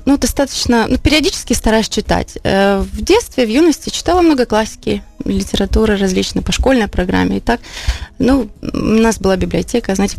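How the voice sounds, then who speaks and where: A female radio guest with a medium-pitched voice. She speaks conversationally and reflectively, often pausing, restarting and padding her sentences with small filler phrases. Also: gravelly, a little mellow. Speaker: interview guest, singer-songwriter. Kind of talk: conversational interview. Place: radio studio.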